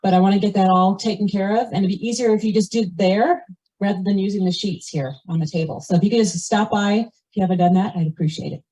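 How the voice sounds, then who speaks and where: A woman's voice: mid-range voice, fast, dark tone, husky, rough recording. A woman making an informal announcement at a community meeting. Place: community meeting, captured on a video call.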